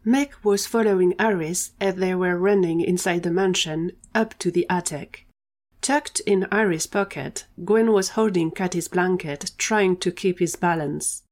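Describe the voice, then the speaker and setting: A woman's voice: fairly low pitch, unhurried, a little mellow. A woman reading scripted narration for an audio drama, telling the story between scenes.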